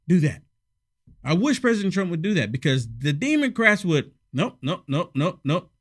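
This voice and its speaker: A man hosting casual political commentary, sarcastic and emphatic, a little hoarse from a head cold. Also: sits high, sing-song, measured pace, long pauses, fairly bright tone.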